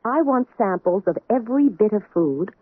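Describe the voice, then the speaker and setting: A calm, businesslike health officer. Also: female voice, mid-range voice, moderate pace, breathy edge, dry recording. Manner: calm, businesslike, matter-of-fact. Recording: tinny, dry old radio broadcast.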